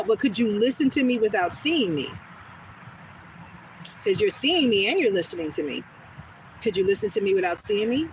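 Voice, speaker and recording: A woman with a smooth, soothing voice, chatting casually with viewers during a live stream and answering their comments as she goes. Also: mid-range voice. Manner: relaxed, rambling, talks back to chat comments. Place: outdoors on a quiet street. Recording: noisy outdoor phone recording.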